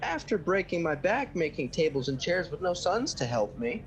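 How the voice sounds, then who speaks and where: A woman's voice: sing-song, normal speed. A woman playing a proud, fond young carpenter fiancé in a radio play, playfully earnest. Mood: fond, teasing. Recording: home video call with noise and rumble.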